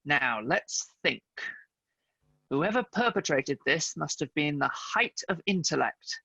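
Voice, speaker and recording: A man acting a self-important, bumbling private detective in a comedy radio serial, with a pompous, deadpan delivery. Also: on the high side, takes it slowly, creaky, husky, dry recording, exaggerated voice, low-quality recording.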